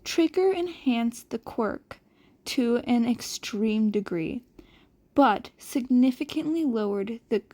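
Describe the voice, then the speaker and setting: A woman reading fan fiction aloud as an amateur narrator, with expressive delivery. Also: fairly high pitch.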